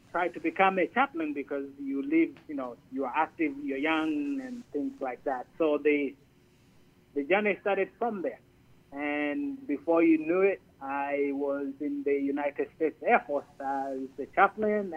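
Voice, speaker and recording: Man, slightly high voice, halting, repeats words, tinny phone line. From Zambia. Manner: halting, repeats words while searching for them. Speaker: Air Force chaplain and priest, guest. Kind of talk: conversational radio interview.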